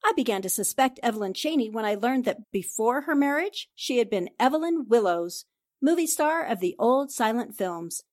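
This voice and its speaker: A woman acts out a sharp female newspaper sleuth from a forties-style radio play. Her voice sits fairly high, and she lays out accusations with deliberate, confident assertion. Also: animated, lightly acted. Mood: accusing. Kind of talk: radio drama acting in 1940s style.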